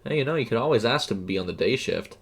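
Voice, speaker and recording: Male narrator as young male night-shift worker, considerate, conversational, slightly halting, audio story. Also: mid-range voice, expressive, creaky. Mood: reassuring. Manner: casual, hesitant, conversational.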